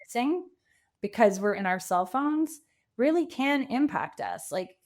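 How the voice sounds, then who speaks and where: A woman's voice: fairly high pitch, sing-song, unhurried, a little mellow. A woman speaking as a podcast guest, telling anecdotes in a casual, informal way and voicing quotes. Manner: informal, illustrates points with anecdotes and acted-out quotes. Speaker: podcast guest, author and coach. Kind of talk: casual conversational storytelling.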